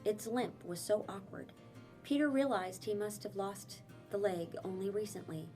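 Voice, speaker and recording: A woman narrates a children's chapter book like a teacher reading to a class. Her delivery is dramatic and expressive, with emphatic phrases and deliberate pauses. Her voice sits at a medium pitch, with music faintly behind it.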